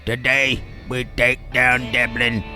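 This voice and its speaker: A man's voice: mid-range voice, monotone, slow, sharp tone, faint music behind, pitched below the performer's own voice. A man voicing a weary, solemn cowboy posse leader in a folksy, grave drawl. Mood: grim resolve.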